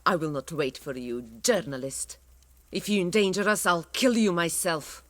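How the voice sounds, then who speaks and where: A woman's voice: fairly low pitch, animated, normal speed, natural voice. An actress playing a fierce, mistrustful young Polish partisan woman in a game cutscene. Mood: threatening. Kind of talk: dramatic game cutscene acting.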